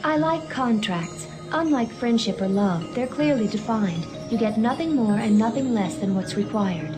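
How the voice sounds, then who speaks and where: A woman's voice: fairly high pitch, expressive, moderate pace, close to an everyday voice. A woman playing a cool, teasing, mysterious young woman in an anime audio drama, dry and detached in delivery. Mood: aloof. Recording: music behind the voice.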